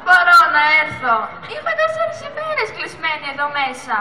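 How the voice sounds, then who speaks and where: A woman's voice: extremely high pitch, wildly swooping pitch, sharp tone, full character voice. A teenage girl playing an anxious, loyal young sea turtle in an amateur school puppet show, with earnest theatrical delivery. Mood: pleading. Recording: echoey hall, music and audience noise.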